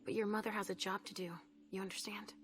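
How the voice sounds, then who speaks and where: A woman playing a protective vampire mother posing as a police officer in a video game, speaking in a hushed, measured, guarded way. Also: medium pitch, flat delivery, lightly acted. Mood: tender, uneasy. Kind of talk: video game cutscene voice acting. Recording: loud music under the voice.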